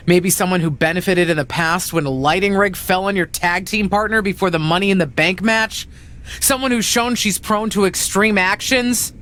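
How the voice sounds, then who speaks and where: A woman acting as a wrestling manager who gives blunt, protective advice in a game story scene. She is pointed and sardonic, with a medium-pitched voice. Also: moderate pace, fairly bright tone, natural voice. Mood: pointed, exasperated. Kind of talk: video game cutscene dialogue.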